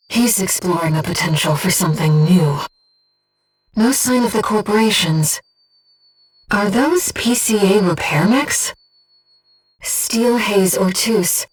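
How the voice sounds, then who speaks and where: Slow, clear, gentle guiding game companion. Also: female voice, on the low side, recorded from a distance, low-quality recording. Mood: composed briefing. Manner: measured, composed, earnest. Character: gentle, earnest disembodied female voice guiding a mercenary pilot. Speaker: mission support operator. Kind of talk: scripted video game voice acting.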